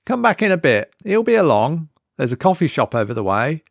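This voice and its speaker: A man's voice: fairly high pitch, very animated, brisk, creaky, no room sound, studio quality, voice pushed up. A man voicing a tired, dismissive woman behind a shop counter in a horror audiobook, terse and offhand. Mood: curt, dismissive.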